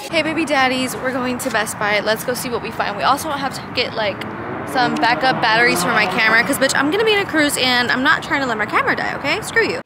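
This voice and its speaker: A young woman with a fairly high voice talks casually to her vlog camera. She is animated and slangy and swears freely. There is clear background noise on the handheld recording. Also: quick pace. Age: 20s. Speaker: vlogger. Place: car, shops, parking lot, cluttered bedroom. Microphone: handheld or propped vlog camera. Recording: noisy handheld camera audio.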